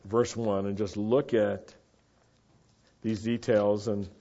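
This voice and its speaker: A man's voice: lowish voice, little pitch movement, slow, warm tone. Man preaching in a muffled recording. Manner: teacherly, asks the audience questions. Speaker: congregation teacher. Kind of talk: Torah-portion sermon. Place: meeting room with a live congregation.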